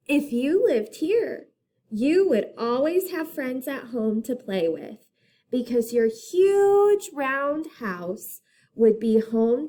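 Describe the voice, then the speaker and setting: A woman reading a picture book aloud as a teacher to children, in a deliberate, expressive storytelling manner. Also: on the high side.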